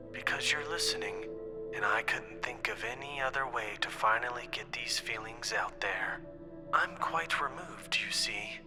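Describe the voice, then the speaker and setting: Solemn, isolated man male actor leaving a recorded farewell; measured, breathy drama monologue over clear music. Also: mid-range voice, natural voice. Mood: melancholy confession.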